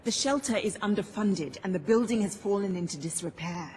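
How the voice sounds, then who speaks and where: An actress voicing an earnest, principled champion of the poor in a video game, formal, impassioned and pleading. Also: female voice, mid-range voice, animated, a little fast, a little mellow, slight background noise, lightly acted. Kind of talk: scripted video game dialogue.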